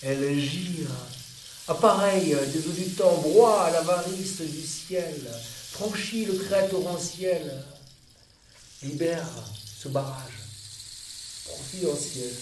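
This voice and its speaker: A man reciting a poetic dramatic monologue in a measured, deliberate way, with pauses between phrases. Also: a little high. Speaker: monologue performer. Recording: roomy, distant, noisy with water-like hiss.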